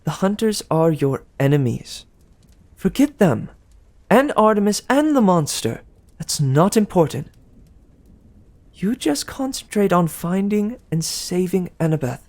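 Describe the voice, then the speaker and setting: A vain, doting goddess of love, as a male reader puts her on gushes in a high, breathy voice. She stretches her words out slowly and sighs over every line. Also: sing-song, a little mellow, exaggerated voice. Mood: gushing. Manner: breathy gushing, sighing.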